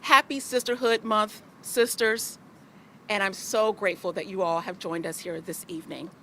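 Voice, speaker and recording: A woman's voice: medium pitch, steady tone, moderate pace, a little hiss or hum. A woman giving a rallying ceremonial speech to a sisterhood gathering. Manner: warm-rallying, then joking and loose. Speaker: speaker at civic ceremony.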